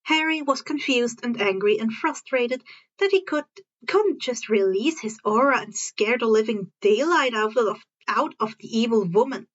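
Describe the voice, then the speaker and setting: A woman's voice: fairly high pitch, lively intonation, normal speed, muffled recording. A woman reading a story aloud as an amateur narrator, sometimes stumbling and rereading phrases. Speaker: fan fiction narrator.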